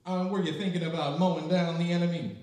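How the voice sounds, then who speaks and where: An older man playing a gruff, sardonic, exasperated army drill sergeant in a live radio-style comedy skit. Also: on the high side, fairly even intonation, fast, darkish tone, close to an everyday voice. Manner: barking, sardonic. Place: library room, live performance, indoors. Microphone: stand microphone, distant camera. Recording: echoey room, far mic, audience noise.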